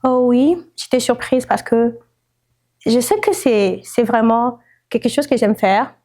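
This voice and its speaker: A woman from Congo giving a calm, reflective personal testimony. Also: on the high side, a little slow.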